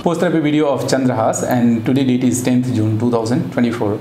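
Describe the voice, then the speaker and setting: A middle-aged man with an Indian accent interviewing a patient formally as a voice therapist, in a measured, deliberate way. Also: mid-range voice, over loud music. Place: small clinic office, indoors. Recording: echoey room, faint background noise.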